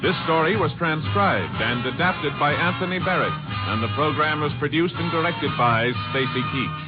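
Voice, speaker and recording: A male program announcer from 1950s radio with a slightly high voice, reading from a script over loud music. The old recording sounds muffled. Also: moderate pace.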